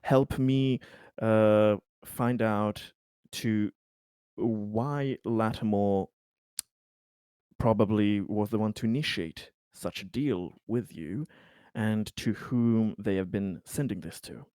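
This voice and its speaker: A man with a slightly low voice plays in a tabletop roleplaying game. He explains his character's actions in a casual, hesitant way, stumbling over words. The sound is very dry and close. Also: slow pace, warm tone, clean tone, no echo.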